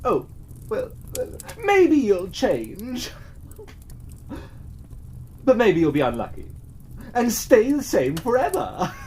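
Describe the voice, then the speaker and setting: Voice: medium pitch, huge pitch swings, slow pace, long pauses, a little mellow, a little hiss or hum, voice pushed up. A storyteller voicing a vain, dramatic blossom tree in a children's fairytale, theatrical and gushing. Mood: smug.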